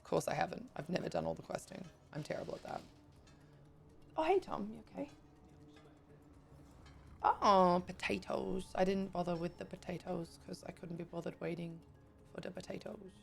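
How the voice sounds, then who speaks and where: A woman streaming live, her voice croaky, chatting casually with viewers and thanking them as she plays. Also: on the low side, moderate pace, pauses often. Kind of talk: casual live-stream chat while gaming.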